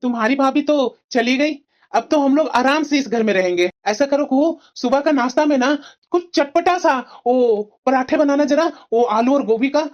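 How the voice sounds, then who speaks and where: A high-pitched, food-loving layabout husband in a cartoon performed by a woman. He wheedles and demands greedily, and the sound is dull and lo-fi. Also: normal speed, darkish tone, close to an everyday voice. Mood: greedy wheedling. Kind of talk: Hindi moral-story cartoon acting.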